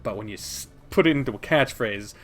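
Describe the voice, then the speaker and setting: A man delivers casual, partly scripted game review commentary as a channel host, with music playing behind him. He is informal and rambling, emphatic about his opinions and quick to swear. His pitch sits in the middle range for a man. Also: lively intonation, fairly bright tone.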